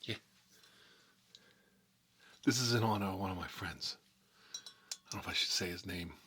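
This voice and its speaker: A man playing an exasperated mob boss in a comedy monologue, rambling in tough-guy slang and repeating himself, with a husky voice. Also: deep voice, normal speed, noisy background, lightly acted. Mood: laid-back, rambling. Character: exasperated mob boss telling family stories. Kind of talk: rambling comedic storytelling in character, between whispers and outbursts.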